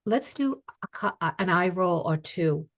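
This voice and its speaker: Older lady on a video call, slow calm voice, pretty low, walks someone through tapping. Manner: slow, calm, repeats phrases for client to echo. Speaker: EFT tapping practitioner. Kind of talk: guided therapy session. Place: home office.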